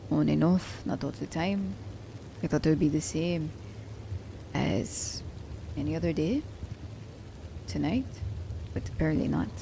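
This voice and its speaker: A woman playing a reserved assassin who is grudgingly tender, speaking hesitantly and softly in an ASMR romance roleplay. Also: deep voice, very animated, a little slow, gravelly, long pauses, noisy background, full character voice. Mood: matter-of-fact. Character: reserved female assassin, grudgingly tender toward captive werewolf.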